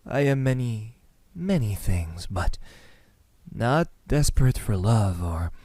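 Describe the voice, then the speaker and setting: Man acting as slow, low, menacing young kidnapper. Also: very animated, no echo, exaggerated voice. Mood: smug, scornful. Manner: soft, deliberate, breathy sighs, dropping into whispers. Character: menacing yandere male student kidnapper, calm and smug. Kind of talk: ASMR roleplay acting. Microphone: close microphone.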